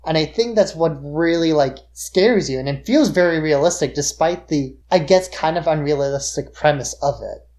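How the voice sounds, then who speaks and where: A woman's voice: deep voice, normal speed, hardly any pauses, a little mellow. A woman co-hosting a podcast in a casual, conversational discussion of films. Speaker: podcast co-host.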